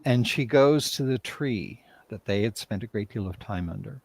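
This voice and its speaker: A man talking casually on a livestream video call as a writer and director, telling things in a hesitant, halting way. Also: on the low side, lively intonation, creaky, a little mellow, no echo. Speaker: writer and director, interview guest.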